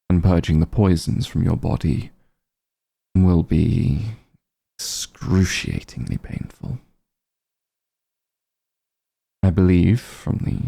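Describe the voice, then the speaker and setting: Man acting as very deep, dark-voiced vampire patriarch. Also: gravelly, breathy edge, no echo, full character voice. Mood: brisk, grave. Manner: formal, archaic, haughty diction. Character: ancient aloof male vampire patriarch, commanding but honorable. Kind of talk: ASMR fantasy roleplay acting.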